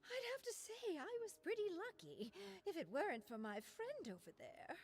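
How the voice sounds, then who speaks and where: Gracious theatrical madame, high husky voice, dramatic drawl, wildly swooping intonation, anime game. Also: female voice, huge pitch swings, moderate pace, slight background noise, exaggerated voice. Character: unflappable, theatrical elder lady, gracious and affectionate. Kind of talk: anime-style game voice acting.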